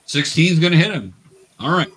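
A man's voice: fairly high pitch, expressive, normal speed, a bit bright, a little hiss or hum, poor audio. A man running a tabletop game for young beginners as game master, patiently explaining each step.

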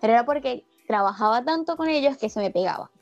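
A Venezuelan woman with a slightly raised voice talks in loose, rambling sentences, stopping and restarting her thoughts. Her Venezuelan accent now and then slips into an Argentine lilt. The audio is muffled and lo-fi, with soft music playing underneath. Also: a little high, expressive, fast talker. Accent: Venezuelan Spanish with a slight Argentine lilt. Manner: chatty, rambling, many restarts and fillers. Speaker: interviewee. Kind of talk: casual chat.

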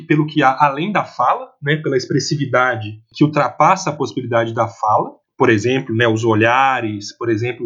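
A Brazilian man discussing film analytically off the cuff, haltingly, repeating words and often adding tag questions. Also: medium pitch, a bit bright, husky, muffled recording. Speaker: film commentator.

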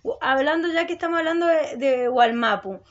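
A woman's voice: high voice, a little fast, highs cut off. A woman with a Chilean accent asking questions in a casual interview, hesitant and searching for her words. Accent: Chilean Spanish. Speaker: radio interviewer.

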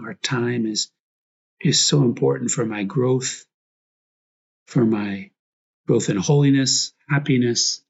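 Male priest for guided meditation: gravelly, husky, reflective, short prayerful phrases, slightly dull recording. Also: mid-range voice.

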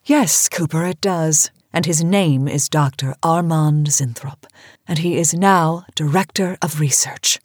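A low, breathy voice, close and dry: an imperious cosmetics queen in a campy B-movie radio play. She is grand, secretive and commanding. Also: unhurried, dry recording. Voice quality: husky, breathy. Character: imperious, secretive female cosmetics company boss. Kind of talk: campy B-movie audio drama acting.

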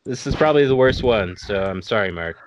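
A man's voice: lowish voice, lively intonation, brisk, highs cut off, slight background noise. A man hosting a podcast, handing out roles in a game and chatting casually. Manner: apologetic, directing the game. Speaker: podcast host running a game. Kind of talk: casual chat.

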